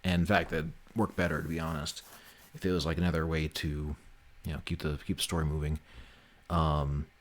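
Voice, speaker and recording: Male streamer, low voice, informal and sweary, chatting casually while he works. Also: flat delivery, fairly quick. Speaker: livestream host and game developer. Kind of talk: casual livestream chat, thinking aloud while working.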